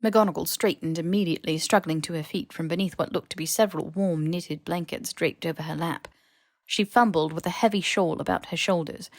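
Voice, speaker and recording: A woman's voice: fairly low pitch, brisk, no room sound. Woman narrating a story, dry close sound. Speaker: podfic narrator. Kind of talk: expressive scripted story narration.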